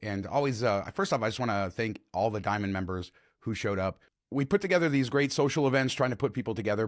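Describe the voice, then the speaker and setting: A man hosting a travel podcast, chatty and enthusiastic, his voice slightly hoarse, on a close podcast mic. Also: medium pitch, no echo. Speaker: podcast host. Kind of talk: casual travel podcast chat.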